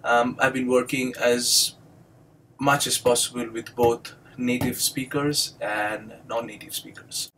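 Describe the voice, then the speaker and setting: Young Indian English man, native Bengali, measured lecture-style monologue with frequent fillers, mid pitch, faint background noise. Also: flat delivery, fairly bright tone, low-quality recording. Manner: measured and explanatory, many ums and uhs. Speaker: doctoral student and instructor. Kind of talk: recorded video monologue.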